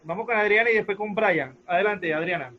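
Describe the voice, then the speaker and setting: A man's voice: high voice, fast. A man moderating an online discussion in a polite, informal way. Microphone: video call. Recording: dull noisy online call.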